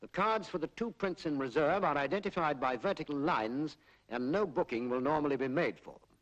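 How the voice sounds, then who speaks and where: A British man narrating a 1940s public information film in a formal, measured, explanatory way, on a period film soundtrack. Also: on the high side, lo-fi sound. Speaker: documentary narrator.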